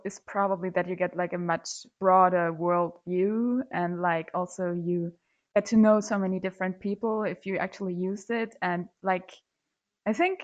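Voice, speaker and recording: A woman's voice: mid-range voice, dull audio. Chatty young woman from Germany. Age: young adult. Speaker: podcast guest, student. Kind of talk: casual chat.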